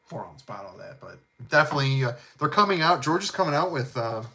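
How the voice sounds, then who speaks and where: A middle-aged man with a heavy Chicago South Side accent and a bright, forward tone. He rambles in a laid-back, chummy way, dropping fillers and casual slang as he talks about colognes with his viewers. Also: a little high, big pitch swings, fast. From Chicago, USA. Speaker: fragrance channel host. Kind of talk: casual live chat.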